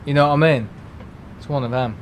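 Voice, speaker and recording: A man's voice: medium pitch, fairly quick, a little hiss or hum. Chatty man with a Merseyside accent. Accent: Merseyside English. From England. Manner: rambling and chatty, many fillers and restarts. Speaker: livestream host. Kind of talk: casual chat with live viewers.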